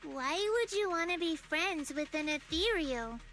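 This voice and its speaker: A woman's voice: high voice, animated, unhurried, fairly bright tone, soft background music, heavily acted. A woman voicing a playful, aloof, girl-like creature in a game story, with a singsong, teasing manner. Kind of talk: voiced game story dialogue.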